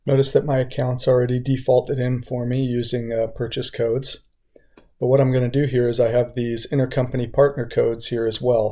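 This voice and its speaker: A man working as a software trainer, explaining an on-screen walkthrough methodically, step by step. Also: medium pitch. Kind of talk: instructional screen-share walkthrough.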